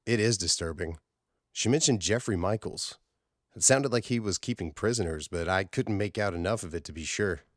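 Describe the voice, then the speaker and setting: Man as a steady, low-voiced mission leader. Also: normal speed, no echo, clean studio sound, close to an everyday voice. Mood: measured, concerned. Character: steady male space-mission leader, dry and commanding.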